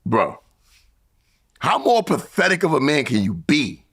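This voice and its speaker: 40s dude hosting an interview, says bro a lot, blunt and joking, voice goes up and down a lot. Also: male voice, on the high side, big pitch swings, a little slow, a bit bright, breathy edge. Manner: blunt, teasing, says "bro" and swears casually. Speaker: interview host. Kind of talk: casual interview chat.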